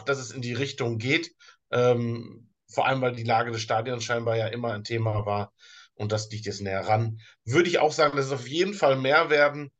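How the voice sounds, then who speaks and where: German male podcast co-host, easygoing and halting, mid-pitched voice. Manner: hesitant, many fillers and restarts, slow in places. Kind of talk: casual podcast chat.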